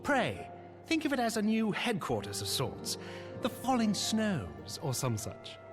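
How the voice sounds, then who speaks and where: A man playing a courteous highborn knight in a fantasy video game, speaking in formal, archaic, gracious and earnest phrases. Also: huge pitch swings, measured pace, over music, exaggerated voice. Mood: warm reassurance. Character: courteous highborn knight offering refuge to friends.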